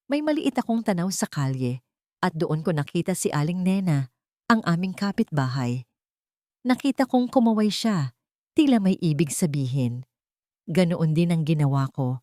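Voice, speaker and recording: A woman narrates a dramatic story in the first person, in a slightly low voice. The sound is dry and close, and the delivery is that of a scripted audio drama. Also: big pitch swings, normal speed, pauses often, mellow, dry recording.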